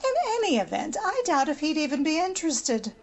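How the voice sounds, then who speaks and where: A woman's voice: sits high, very animated, fairly quick. A woman playing a conceited, bossy older American society matron in an old-time radio drama, haughty and gushing. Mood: haughty, gossipy.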